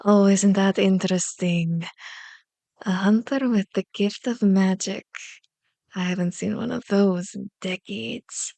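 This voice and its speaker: An ancient, powerful vampire woman, acted by a woman for an ASMR roleplay, speaks slowly and deliberately, savoring each taunt. She is seductive and menacing, teasing her prey in formal phrases. The sound is close and very dry. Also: medium pitch, creaky, mellow, no room sound. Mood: amused, threatening. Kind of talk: ASMR roleplay, scripted monologue. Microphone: close microphone, dry recording.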